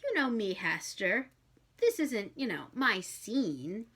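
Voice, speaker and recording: A woman's voice: mid-range voice, sing-song, slow, a bit bright, slightly rough, exaggerated voice. Lady doing a sly guy demon from a storybook, cheeky, slangy, kinda flustered and evasive. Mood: reluctant, desperate. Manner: slangy, hesitant, protesting.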